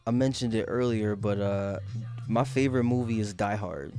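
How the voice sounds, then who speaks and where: A man's voice: fairly low pitch, normal speed, darkish tone, faint music behind. Profane, rambling New York man. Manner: loose, profane, rambling storytelling. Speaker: podcast guest. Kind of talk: casual chat.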